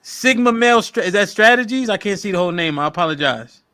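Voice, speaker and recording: Guy hosting a live stream show, talks slang, laughing, kinda high voice. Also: expressive, fast talker, sharp tone. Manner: laughing, slangy, repeats words for emphasis. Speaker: podcast host reading super chats. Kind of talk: casual live-stream chat.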